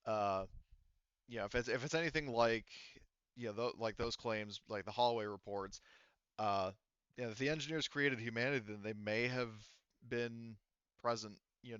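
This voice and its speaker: Halting male game master, clear but slightly muffled. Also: medium pitch, a bit bright, dry recording. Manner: halting, rambling explanations. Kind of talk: narrating a tabletop roleplaying game.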